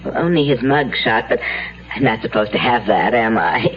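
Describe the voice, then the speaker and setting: A doting older mother who chatters in a homely, fussy way. She rambles through an old radio crime drama on a dull recording with clear background noise. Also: rumbling low, expressive, normal speed. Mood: hospitable.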